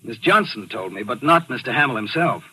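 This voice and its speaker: An actor playing a sly, smug, composed murderer in a 1940s radio thriller. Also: male voice, mid-range voice, lively intonation, fairly quick, pushed and bright, breathy edge, full character voice, low-quality recording. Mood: guarded, casual. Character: sly male actor, smug murderer narrating his crime. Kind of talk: 1940s radio thriller acting and first-person narration. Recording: old radio broadcast recording with music.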